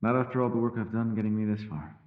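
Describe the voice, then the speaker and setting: A man playing a mysterious, powerful and secretive elf in a fantasy ASMR roleplay, speaking in measured, formal, old-fashioned phrases, by turns teasing and world-weary. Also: on the low side, level tone, phone-quality sound, close to an everyday voice. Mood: weary, brooding.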